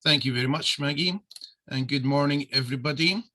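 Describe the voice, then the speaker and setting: A man's voice: medium pitch, fairly quick, sharp tone. A man with a Scottish accent giving a friendly, loosely spoken webinar presentation as a trade office deputy director, on a video call. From Scotland. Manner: friendly, loose, with many uh fillers.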